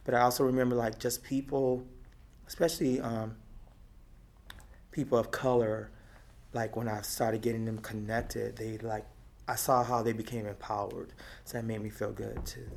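A middle-aged woman from Texas with a deep, raspy voice, talking and laughing her way through memories in an oral history interview. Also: moderate pace. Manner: lively, talkative, laughs often. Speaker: activist interviewee.

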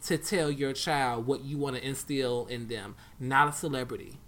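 A man's voice: mid-range voice, moderate pace, fairly bright tone. A man co-hosting a podcast, a dance coach, chatting casually and hammering his point home with repetition. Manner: emphatic, preachy, repeats for stress. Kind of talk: casual chat.

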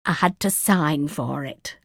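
A woman's voice: deep voice, a little slow, gravelly, no echo, clean studio sound, voice pushed down, exaggerated voice. Woman voicing a kindly old Yorkshire lady, chatty and familiar. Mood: chatty concern. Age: older.

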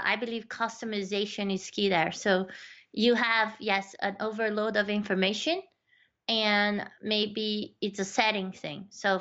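A woman's voice: medium pitch, bright, forceful tone, clear, resonant tone, lo-fi sound. A woman in casual conversation, talking in a chatty way with many fillers and restarts as she tells stories about her work. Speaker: accessibility researcher and guest.

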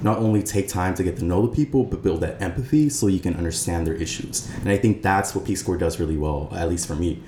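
A man's voice: deep voice, fast talker, hardly any pauses, dark tone. A young man, a native Spanish speaker, giving earnest, explanatory answers as an interview guest. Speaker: interview guest, Peace Corps volunteer. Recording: echoey room with clear background noise.

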